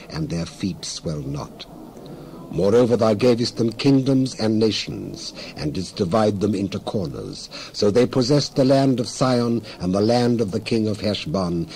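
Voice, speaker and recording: Male narrator, low and mellow, for solemn dramatized Bible reading; declamatory, measured phrases. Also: slight background noise. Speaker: Bible reader.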